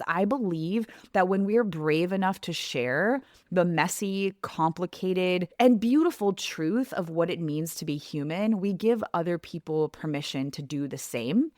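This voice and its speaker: A woman's voice: fairly low pitch, no room sound, studio quality. A woman in her thirties hosting her podcast, talking earnestly and reflectively straight to the listener. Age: 30s. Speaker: podcast host and coach. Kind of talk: heartfelt podcast monologue.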